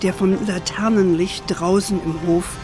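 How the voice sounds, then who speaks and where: Actress as older grandmother, American-tinged German accent, unhurried, tender bedtime storyteller, music underneath, children's radio play. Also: lowish voice, fairly even intonation, lightly acted. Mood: tender, reminiscing. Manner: measured, tender, sprinkles in English endearments. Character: affectionate grandmother telling her family history to her granddaughter.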